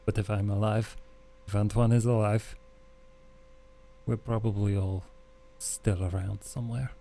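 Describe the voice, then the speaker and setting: Guy doing a calm wry vampire survivor dude, tabletop rpg, soft dark mellow tone, low-ish, understated. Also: unhurried, gravelly. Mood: measured. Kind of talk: in-character tabletop roleplay.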